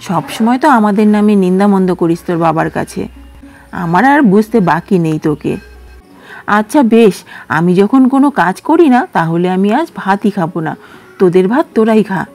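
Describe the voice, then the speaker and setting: A woman voicing a sharp-tongued, sulky middle-aged stepmother who nags in a shrill, fast voice, storybook style. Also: soft background music, lightly acted. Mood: scolding. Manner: shrill, nagging, fast scolding. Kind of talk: storybook character voice.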